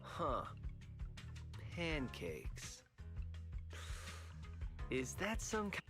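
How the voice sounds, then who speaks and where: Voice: mid-range voice, wildly swooping pitch, very slow, music in the background, exaggerated voice. An actor playing an earnest, gullible subordinate patrol officer in a game, puzzled and exclamatory. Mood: confused.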